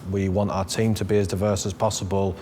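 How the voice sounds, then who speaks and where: A man interviewing a colleague, asking questions in a relaxed way and pausing to search for words. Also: low voice, slight background noise. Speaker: interviewer, executive director. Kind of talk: interview questions, casual talk.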